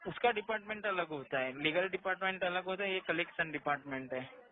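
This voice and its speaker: A man with a noticeably high voice speaks as a loan collection agent. He explains in an insistent, repetitive way. The sound is narrow and tinny, as on a recorded phone call. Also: fairly quick, a little hiss or hum. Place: call centre, on a phone line.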